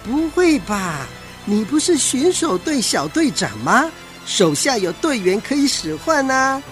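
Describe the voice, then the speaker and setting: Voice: sits high, very animated, soft background music. An actor playing a scheming retired male tiger frog, wheedling and insinuating, in a children's radio drama. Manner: slow, insinuating, with knowing chuckles.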